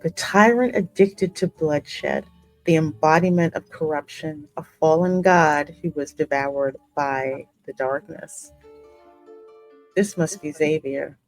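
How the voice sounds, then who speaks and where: A woman with a lowish voice narrates a fantasy game story. Her reading is measured and dramatic, with music playing behind her. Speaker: gaming host voicing the player character. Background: musical game soundtrack.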